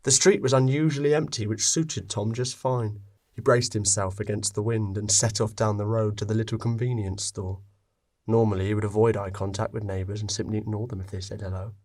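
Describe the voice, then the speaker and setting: A man narrating a scripted story expressively, with dramatic pauses. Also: on the low side, fairly even intonation, darkish tone.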